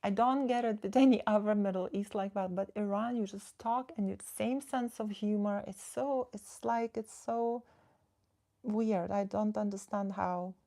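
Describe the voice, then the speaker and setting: A woman's voice: medium pitch, fairly even intonation, measured pace, dark tone. A woman from the Netherlands, a relationship coach, chatting casually on a livestream, reading viewers' comments and giving direct advice.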